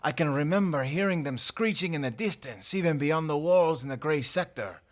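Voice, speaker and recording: A man playing a serious, world-weary supernatural in an audio roleplay, measured and wary. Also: on the high side, lively intonation, natural voice. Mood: grave, uneasy. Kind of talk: audio roleplay drama acting.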